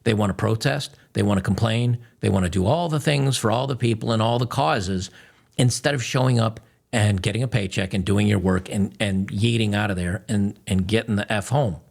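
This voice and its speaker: A man's voice: lowish voice, moderate pace, vocal fry. A man hosting, giving blunt, mocking commentary on video clips. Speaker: host.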